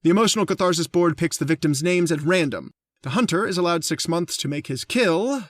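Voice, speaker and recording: An actor playing a mild, hesitant gentleman who hunts people for sport, in a radio drama. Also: a little high, lively intonation, a little fast, dry recording, studio quality. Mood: reciting, distracted. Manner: polite, hesitant.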